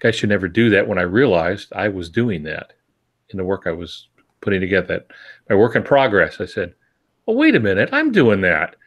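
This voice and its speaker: A man's voice: lowish voice, big pitch swings, brisk, dull audio. An older man giving writing advice in casual podcast talk, with a wry, self-deprecating, folksy manner. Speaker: podcast co-host and novelist.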